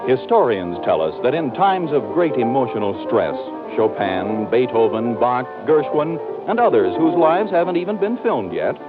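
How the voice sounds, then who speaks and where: A man's voice: medium pitch, sing-song, normal speed, hardly any pauses, loud music behind the voice. A man with an American accent reading scripted 1940s radio announcements in a polished, emphatic way. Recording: tinny old radio broadcast recording.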